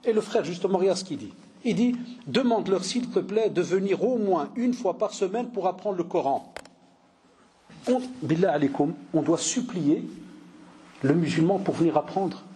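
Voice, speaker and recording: A man in his forties from Belgium, an imam giving a religious lecture, telling lively stories and mixing in Arabic phrases. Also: sits high, normal speed. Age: 40s. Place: mosque cultural center hall.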